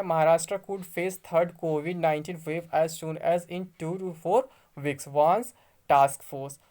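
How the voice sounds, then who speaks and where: A woman's voice: fairly low pitch, darkish tone. A woman with an Indian accent giving an informal news explainer, reading articles aloud and explaining them. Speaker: YouTube exam news presenter.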